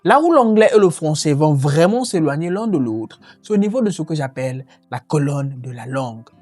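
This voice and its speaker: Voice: medium pitch, animated, moderate pace, a little mellow, no echo, faint music behind. A voice narrating a French-language lesson on learning English, earnest and explanatory. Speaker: language teacher.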